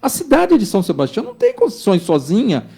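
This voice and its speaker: Business guest, male, 50s: gravelly, warm-toned, emphatic and insistent. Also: high-pitched, wildly swooping pitch, fast talker. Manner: emphatic, insistent, keeps checking with the listener. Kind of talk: opinionated studio discussion.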